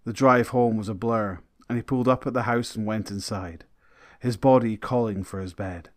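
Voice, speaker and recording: Low-voiced male narrator, dry sound. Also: steady tone, moderate pace, no echo. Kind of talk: scripted dramatic narration.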